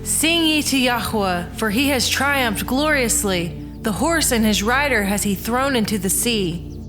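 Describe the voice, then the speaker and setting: A woman playing an exultant Hebrew prophetess, chanting triumphant praise in drawn-out phrases in a dramatized scripture reading. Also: fairly high pitch, lively intonation, music in the background.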